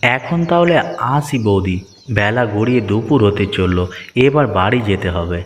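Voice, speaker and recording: A man's voice: fairly low pitch, big pitch swings, moderate pace. A man playing a dutiful, good-natured village family man in a moral-story cartoon, earnest and familiar in manner. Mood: affectionate, reassuring. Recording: reverberant hall effect, music behind.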